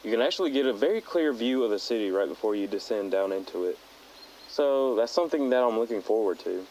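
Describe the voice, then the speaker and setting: A man playing a thoughtful teenage hiker who speaks haltingly and earnestly into a personal audio diary. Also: lowish voice, animated, dark tone, close to an everyday voice, rough recording. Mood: calm, reflective. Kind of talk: found-footage audio log monologue. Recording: outdoor tape log with nature noise.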